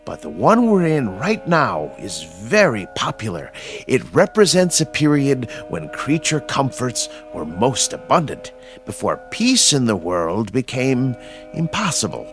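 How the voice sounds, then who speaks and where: A genteel caretaker gentleman speaks with a very animated, swooping pitch and an unhurried pace. He is fussy, courteous and unflappable, in the mannered way of classic radio drama, with music underneath. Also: exaggerated voice. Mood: genteel, chatty. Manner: courteous, mannered, old-fashioned phrasing.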